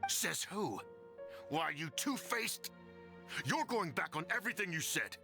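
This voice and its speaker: A man's voice: high voice, big pitch swings, measured pace, gravelly, exaggerated voice. An actor voicing a smug, evasive male official in a video game, talking in an oily, defensive way. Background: game music.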